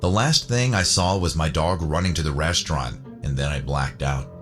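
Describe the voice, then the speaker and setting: A man's voice: a bass voice, normal speed, fairly bright tone. A man narrating a scripted first-person story. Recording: music bed under the voice. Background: background music.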